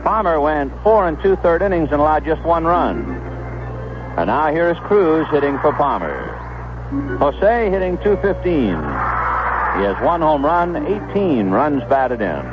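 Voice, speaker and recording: Man calling baseball with swooping, lively intonation. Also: fairly high pitch, measured pace, music in the background. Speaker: baseball play-by-play announcer. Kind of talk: live radio sports commentary. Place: stadium broadcast booth. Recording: muffled 1970s AM radio broadcast, background noise.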